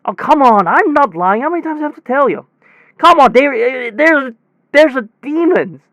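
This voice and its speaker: A man playing a technician in an audio drama, recounting his workday in a halting, rambling, repetitive way, often interrupting himself. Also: extremely high pitch, animated, gravelly, a bit bright, no echo, exaggerated voice. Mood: agitated, insistent. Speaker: air conditioner installation technician. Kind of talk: conversational audio drama monologue.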